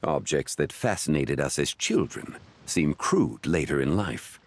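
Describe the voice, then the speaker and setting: A man voicing a composed, courteous, learned gentleman in a video game, with formal, measured phrasing. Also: a bass voice, expressive, full character voice. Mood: reflective. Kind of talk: scripted video game dialogue acting.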